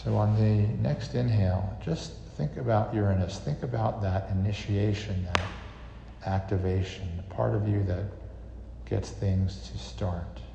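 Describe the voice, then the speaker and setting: Deep-voiced man guiding a meditation. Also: vocal fry, background noise. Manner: unhurried, soothing instruction with long pauses. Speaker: meditation guide. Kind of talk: guided meditation with astrology teaching. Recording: reverberant echo, slightly muffled, music behind. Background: music.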